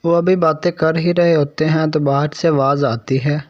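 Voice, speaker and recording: A man's voice: on the high side, steady tone, moderate pace, very noisy. A man reading scripted narration for a cartoon story. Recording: dry, slightly dull sound.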